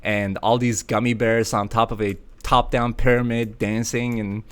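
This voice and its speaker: A man's voice: mid-range voice, little pitch movement, moderate pace, smooth. A man from Sweden hosting a casual livestream, chatty and playful, often laughing as he talks to viewers. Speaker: livestream host.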